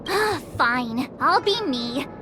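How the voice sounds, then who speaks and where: A woman playing an excitable, cocky and playful girl detective in a video game, with theatrical delivery. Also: sits high, slow, slight background noise, heavily acted. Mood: musing, brash. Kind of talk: animated video game voice acting.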